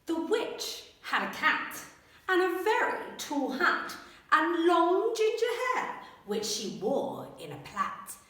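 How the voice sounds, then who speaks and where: A woman teacher reads a rhyming children's story aloud in a bright, lively voice that swoops up and down. She takes it at an unhurried pace, and the echoey room rings around her. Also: extremely high pitch, recorded from a distance. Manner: expressive, animated storytelling.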